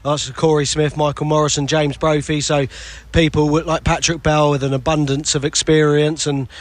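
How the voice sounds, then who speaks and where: A man's voice: fairly high pitch, little pitch movement, normal speed, no room sound. Chatty male radio reporter, poolside. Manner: chatty, long lead-ins to questions. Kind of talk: casual interview questions. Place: outdoors by a hotel pool.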